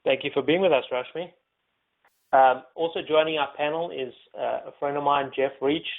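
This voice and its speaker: A man's voice: a little high, fairly even intonation, moderate pace, gravelly. A man from South Africa with a South African accent, moderating a panel webinar in an easygoing way with many ums and uhs. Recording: phone-like narrow audio.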